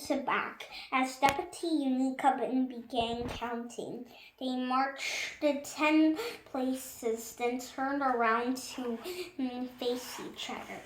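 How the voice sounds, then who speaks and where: A woman reading a storybook aloud, haltingly, stumbling over words and correcting herself. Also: sits high, a little hiss or hum. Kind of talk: storybook read-aloud with hesitations and acted dialogue. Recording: echoey room.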